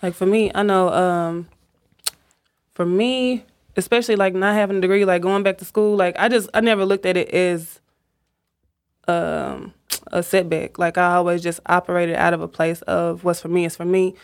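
A woman's voice: on the low side, fairly even intonation. Reflective woman hosting a casual chat. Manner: reflective, measured, advice-giving.

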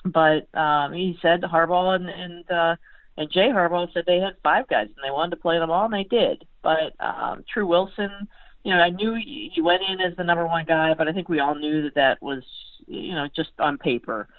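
An American woman talking sports as a guest analyst in a halting, conversational way, often repeating and rephrasing her words. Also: fairly low pitch, no room sound. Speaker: sports beat writer, podcast guest. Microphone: phone call. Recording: tinny narrowband phone line.

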